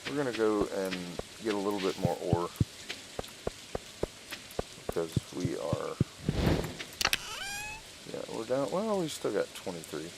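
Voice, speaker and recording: A man giving casual let's-play commentary, thinking aloud in short, low-key remarks. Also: big pitch swings, a little slow, creaky, pauses often. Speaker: gaming streamer. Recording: loud noise with rain-like clicking.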